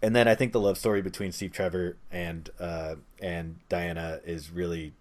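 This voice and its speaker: A man's voice: low-pitched, steady tone, moderate pace, darkish tone. A man speaking as a podcast co-host in casual, conversational discussion. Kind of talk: casual movie-discussion chat.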